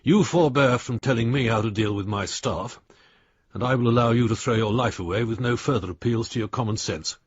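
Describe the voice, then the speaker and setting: Actor as husky, crusty older lawyer. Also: male voice, medium pitch, fairly quick, no room sound, lo-fi sound, natural voice. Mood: exasperated. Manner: blunt, dry, precise. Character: crusty, exasperated older lawyer, loyal old friend. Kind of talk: radio drama, reading a letter aloud.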